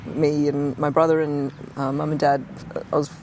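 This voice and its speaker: A woman's voice: low-pitched, level tone, normal speed, a little mellow, a little hiss or hum. An Australian woman, a guest who grew up speaking Cantonese first, talking casually in a hesitant, rambling way with frequent laughs. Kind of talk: casual conversation.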